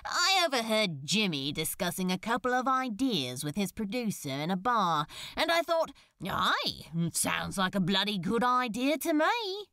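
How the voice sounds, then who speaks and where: A woman's voice: mid-range voice, sing-song, measured pace, hardly any pauses, pushed and bright, dry recording, clean studio sound, exaggerated voice. A woman voicing a flippant, casually boastful male demon in an audiobook story, offhand and cheeky. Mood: flippant boasting.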